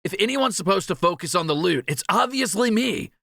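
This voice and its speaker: Man playing a cocky, animated thief, bright and creaky. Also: high voice, brisk, dry recording, studio quality, full character voice. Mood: smug, sarcastic. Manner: sarcastic, animated, swaggering banter. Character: cocky, greedy, teasing male thief adventurer. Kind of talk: fantasy audio roleplay acting.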